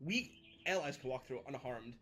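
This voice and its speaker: A man chatting casually and joking as a player in a tabletop game, brash and quick to swear. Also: medium pitch, expressive, fairly bright tone, slight background noise. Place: in-person game session indoors. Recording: background music under the voice. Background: background music.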